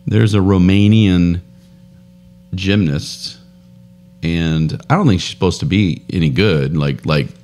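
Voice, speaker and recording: A man in his thirties with a very deep voice, chatting casually as a podcast co-host. He talks into a close studio boom mic in a home room, rambling through stories and laughing often. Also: slow, pauses often, a little mellow. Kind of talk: casual chat. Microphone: boom studio mic with headphones.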